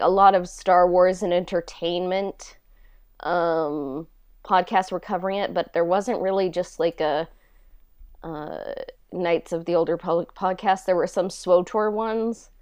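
A woman speaks in a casual, halting podcast style, with a lot of "like" as she goes. Her voice sits on the low side and is very clear and smooth, recorded dry and close. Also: a little mellow, dry recording. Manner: halting, conversational, frequent "like". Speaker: podcast host and creator being interviewed.

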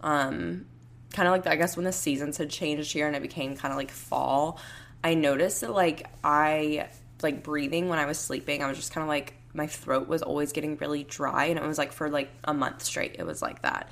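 Young female podcast host, low and gravelly, hoarse; loose rambling chat from home. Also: steady tone, normal speed, fairly bright tone. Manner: rambling, heavy use of "like" and "um". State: hoarse, raspy voice. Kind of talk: casual solo podcast chat.

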